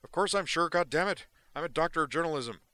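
A brash, agitated man barks and curses through every line, loud and sharp-edged, as a male reader puts him on. The voice sits far higher than the reader's own deep tone. Pure restless storybook mania. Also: animated, quick pace, no room sound. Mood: shouting. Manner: shouting, cursing, agitated. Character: brash frantic man, gonzo doctor. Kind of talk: audiobook character voice.

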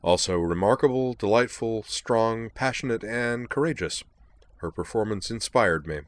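A proud reptilian fencer of a storybook , acted out by a male reader, speaks with measured, flowery courtesy. His tone is calm and polite with a cutting edge, in a low register, recorded close and dry. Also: no echo, lightly acted. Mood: admiring. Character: courteous, proud lizard-like alien swordsman, calm, cheerfully cutting. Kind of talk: acted dialogue in a narrated story.

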